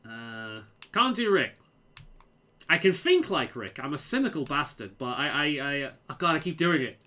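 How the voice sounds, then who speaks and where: Casual game streamer, man, mild Liverpool accent, lively stammering delivery. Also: fairly high pitch, moderate pace. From England. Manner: repeats words, stammers, restarts sentences. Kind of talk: casual chat while playing.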